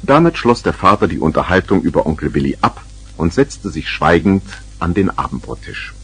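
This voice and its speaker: A man with a husky voice narrating a scripted 1970s children's radio play. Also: low-pitched, animated, normal speed, a bit bright, exaggerated voice.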